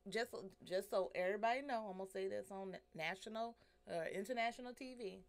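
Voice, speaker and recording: Woman co-host, mid-pitched, blunt and emphatic, on a desk mic. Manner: blunt, emphatic, quick to agree. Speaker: talk show co-host. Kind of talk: casual group chat. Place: small studio room, indoors. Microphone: desk mic on a stand.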